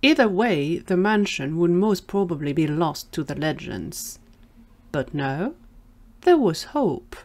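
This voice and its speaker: Lowish-voiced woman narrating a story. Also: sing-song, takes it slowly. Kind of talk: scripted audio drama narration.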